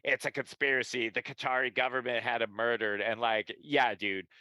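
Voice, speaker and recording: A man's voice: medium pitch, steady tone, moderate pace, sharp tone, smooth, no room sound. A man co-hosting a podcast, sarcastic and profane in casual chat. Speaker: podcast co-host.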